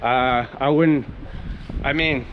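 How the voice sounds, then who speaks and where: A man's voice: medium pitch, fairly even intonation, takes it slowly, phone-quality sound, slight background noise. Rambling Canadian-raised man on a walk. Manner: rambling and enthusiastic, many fillers and restarts. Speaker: vlogger. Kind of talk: casual walk-and-talk vlog. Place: outdoors on a coastal town street.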